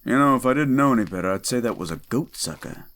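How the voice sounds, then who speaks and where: A man playing a gruff, wisecracking, unflappable demon paranormal detective in a roleplay audio drama, with a laconic, dry delivery. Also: mid-range voice, expressive, quick pace, fairly bright tone, pitched above the performer's own voice, natural voice. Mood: dry, curious.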